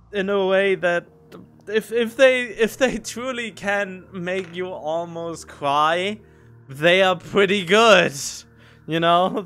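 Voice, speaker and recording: High-pitched, unhurried man commenting on a game. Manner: emotional, expressive, talks in halting bursts. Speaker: game streamer. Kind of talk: casual reacting commentary over a game.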